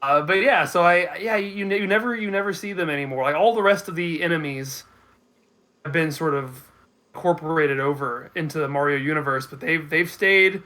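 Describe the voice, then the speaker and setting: A man with a bright, forward voice pitched a bit high talks casually as a guest. He explains things like a well-versed fan, often starting his sentences haltingly. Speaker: guest co-host. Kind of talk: casual chat about games.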